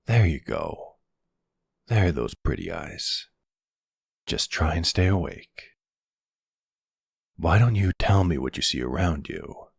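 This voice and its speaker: A man performs a suave middle-aged mafia boss for an ASMR-style boyfriend roleplay. His voice is low and gravelly, recorded dry and close. He is measured and wry, with command and a tender streak. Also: no room sound, heavily acted. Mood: tender, soothing.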